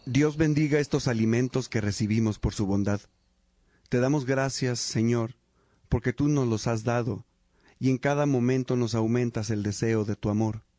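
A man playing a devout monk in a radio drama, praying and reading scripture in a solemn, measured way. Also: medium pitch, mellow, no room sound, natural voice. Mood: reverent. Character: devout monk, friendly brother in community.

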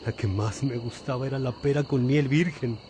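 A man playing a gentle, devout monastic father in a radio drama, speaking in a fraternal, reflective way. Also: mid-range voice, normal speed, a little hiss or hum, close to an everyday voice. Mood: fond.

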